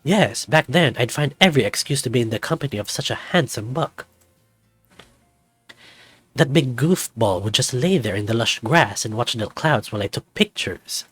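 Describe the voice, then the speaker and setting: A man's voice: mid-range voice, animated, normal speed, fairly bright tone, slightly rough, faint music behind. Man putting on a flamboyant, lilting older snow leopard. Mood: wistful, fond.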